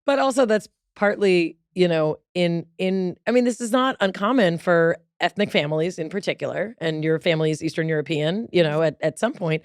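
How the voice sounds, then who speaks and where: A woman hosts a casual interview, her voice sitting a little low. She talks in a thoughtful, articulate way, often explaining at length. The sound is dry and close, from a studio mic. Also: lively intonation, brisk, few pauses, no room sound. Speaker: podcast host.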